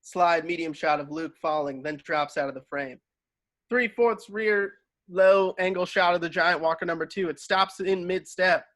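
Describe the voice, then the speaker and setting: A man's voice: high voice, normal speed, a bit bright. A man reading screenplay stage directions as narrator of a table read.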